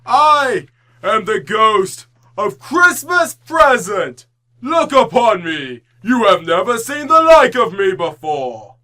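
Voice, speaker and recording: A jolly giant spirit declaims in a high, bright voice. He is commanding and theatrical, drawing out his words slowly in old-time radio style. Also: sing-song, heavily acted. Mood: booming, commanding.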